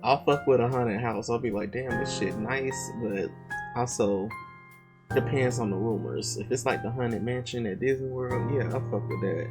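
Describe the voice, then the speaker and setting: A man's voice: mid-range voice, moderate pace. Man reading a horror game aloud. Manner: loose, joking asides with slang. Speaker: game streamer. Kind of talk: reading a visual novel aloud with casual commentary. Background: game music, rain and thunder.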